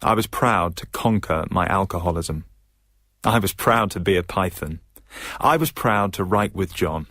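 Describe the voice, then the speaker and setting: A fairly low-voiced man in a dry studio sound. He plays a wry comedian looking back, measured and reflective, in a radio drama. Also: lively intonation, sharp tone, no room sound, exaggerated voice.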